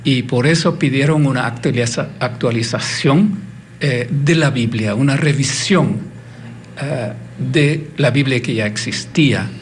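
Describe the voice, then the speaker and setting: A man's voice: medium pitch, measured pace, a little hiss or hum. A man explaining as a guest consultant on a television interview, with frequent fillers. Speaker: guest, Bible Society consultant.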